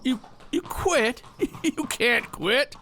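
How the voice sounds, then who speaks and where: An actor playing a defensive, stubborn ranch heir in an audio drama, with a halting, folksy manner. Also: male voice, very high voice, expressive, creaky, bright, forceful tone, heavily acted. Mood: indignant, stubborn. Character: defensive, stubborn ranch heir taking over from his father. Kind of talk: naturalistic full-cast audio drama acting.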